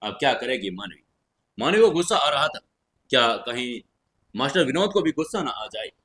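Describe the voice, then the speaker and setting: A man narrating a scripted audio story in a dramatic, expressive, suspenseful way. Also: mid-range voice, normal speed, husky.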